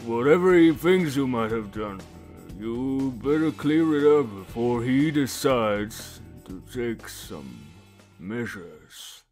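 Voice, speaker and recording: A man voicing a gruff, teasing veteran biker in his fifties, blunt and familiar in a character demo. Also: mid-range voice, lively intonation, takes it slowly, darkish tone, soft background music. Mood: concerned, wary.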